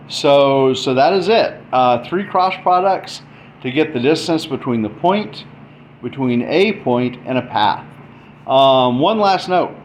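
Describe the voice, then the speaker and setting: Man, mid-pitched voice, informal tutorial delivery, stop-start thinking aloud, faint background noise. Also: a little mellow. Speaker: presenter explaining math. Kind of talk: informal explainer, demonstrating on screen.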